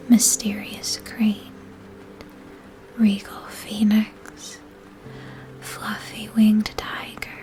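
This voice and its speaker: A woman whispering gently and unhurriedly, narrating a scripted ASMR fantasy roleplay in a breathy, unvoiced voice. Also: mid-range voice.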